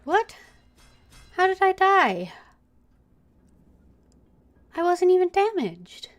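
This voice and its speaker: A woman's voice: extremely high pitch, big pitch swings, very slow. Lady gamer talking to herself mid-game, confused and chatty. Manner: puzzled, thinking aloud. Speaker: streamer. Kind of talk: gameplay commentary.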